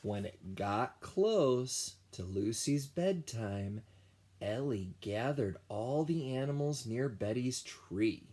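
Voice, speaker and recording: Man, children's music teacher, storybook read-aloud, very slow deliberate delivery, lively varied pitch. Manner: slow, deliberate storytelling with sung and imitated sounds. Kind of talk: animated picture-book read-aloud for children.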